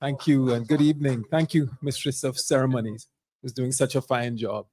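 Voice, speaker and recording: A man and career diplomat giving a keynote speech at a charity evening, speaking in a halting way with repeated words. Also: medium pitch, steady tone, darkish tone. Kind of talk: speech at an event.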